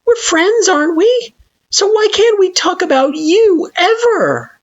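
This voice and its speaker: A nervous, earnest young child in a fan story reading, voice lifted high and stammering as it pleads. The sound is dull and lo-fi. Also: female voice, very animated, slow pace, heavily acted. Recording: dull lo-fi recording.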